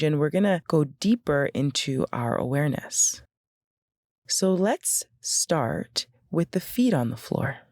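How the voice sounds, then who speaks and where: A woman leading a guided meditation in a gentle, unhurried, instructional way with pauses between phrases. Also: sits low, gravelly, no room sound, studio quality.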